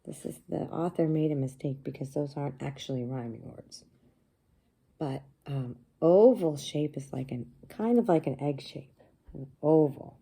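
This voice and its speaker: A woman teacher reading a picture book aloud to young children, pausing to explain things simply and ask them questions. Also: deep voice, gravelly, dark tone, slightly rough.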